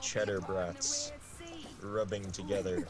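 Slow, low-voiced deadpan man reading. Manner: deadpan, drawn-out phrasing with long pauses. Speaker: guest reader on a game stream. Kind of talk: deliberate comic reading of absurd fiction. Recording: game music behind the voice. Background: loud video game music.

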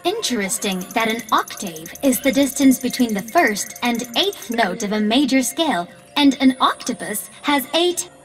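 A holographic AI with a notably high voice over faint game music. The phrasing is formal and measured, logical to the point of being literal, as a composed ship's computer in a video game. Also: female voice, big pitch swings, a bit bright. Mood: literal, curious. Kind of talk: video game cutscene acting.

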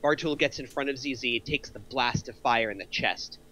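A man's voice: mid-range voice, normal speed, bright, forceful tone, a little hiss or hum, rough recording. A middle-aged man reading out screenplay action lines as a narrator. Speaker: narrator of a script table read.

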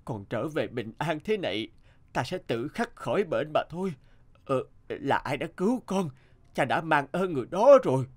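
An actor playing an ailing old king and loving father in an animated folktale, stately and gracious. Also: a little high, normal speed. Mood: moved, relieved.

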